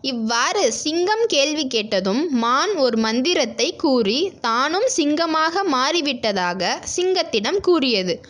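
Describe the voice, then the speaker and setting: A woman storyteller with a very high voice narrates a children's tale. She reads slowly from a script, in the style of a bedtime story. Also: big pitch swings, a bit bright.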